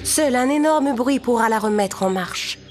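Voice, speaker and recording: Woman as a high-voiced, solemn magical helper. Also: lively intonation, unhurried. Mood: grave, urgent.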